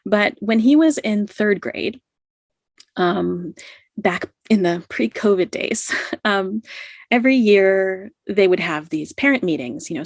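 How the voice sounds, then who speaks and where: A woman's voice: medium pitch, no room sound. Chatty woman, dry close sound. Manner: laughs often, many "you know" and "like" fillers. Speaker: podcast guest. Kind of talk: casual storytelling in an interview.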